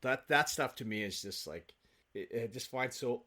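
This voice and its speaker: A man speaking as an actor guest in a casual podcast conversation, effusive and animated in his storytelling. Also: medium pitch, moderate pace.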